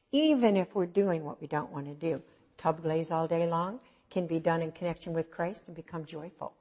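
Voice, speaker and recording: A woman with a low voice preaching an informal, earnest sermon, swinging from loud to soft. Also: slightly rough.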